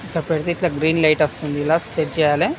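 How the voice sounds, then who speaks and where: A man's voice: on the high side, little pitch movement, very fast. Man demonstrating a product, noisy surroundings. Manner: instructional, step-by-step, repetitive phrasing. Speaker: product unboxing presenter.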